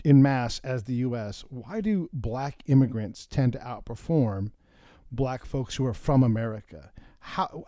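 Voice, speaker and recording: A man's voice: medium pitch, warm tone, no room sound, clean studio sound. A man hosting an interview, thinking aloud and posing long, considered questions. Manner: thoughtful, asks long questions. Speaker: host. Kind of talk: casual interview.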